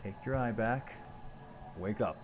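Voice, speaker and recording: Very deep-voiced man streaming a game. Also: unhurried. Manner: wry, sweary. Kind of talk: casual live game commentary, reading along. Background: game music.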